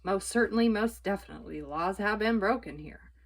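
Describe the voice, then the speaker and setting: A pompous storybook policeman who goes strictly by the book, acted by a woman. His delivery is deliberate and self-important. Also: medium pitch, lightly acted. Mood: officious. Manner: pompous, deliberate, questions and answers himself.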